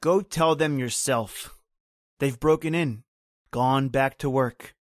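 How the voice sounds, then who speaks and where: A man's voice: medium pitch, slow pace, slight background noise, close to an everyday voice. Man acting a terse, grim island servant. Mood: stubborn. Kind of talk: old-time radio horror acting.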